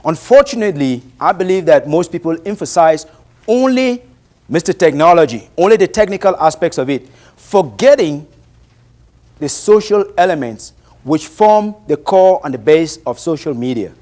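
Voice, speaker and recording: A man in his late thirties with a Cameroonian accent, a native speaker of a Bantu language, presenting to an audience in a deliberate, emphatic way. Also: fairly high pitch, animated, a little mellow. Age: late 30s. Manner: deliberate, emphatic, uses rhetorical questions.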